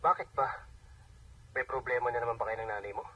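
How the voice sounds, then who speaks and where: Fast-talking, husky homesick husband. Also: male voice, mid-range voice, fairly even intonation, pushed and bright. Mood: admonishing. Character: weary, homesick husband working away from family. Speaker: radio drama actor. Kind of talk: Filipino radio drama acting. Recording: dull distant radio playback.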